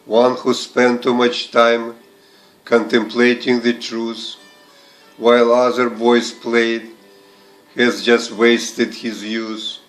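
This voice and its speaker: Slow, even-voiced man reciting poetry over music. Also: medium pitch, poor audio. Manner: deliberate, with short phrases and pauses. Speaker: poetry reader and translator.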